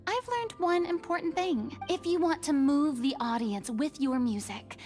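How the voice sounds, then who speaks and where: An actress playing a self-assured, theatrical young woman in a video game, with dramatic, expressive delivery. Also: high voice, normal speed, natural voice. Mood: proud, assured. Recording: long reverb, music behind.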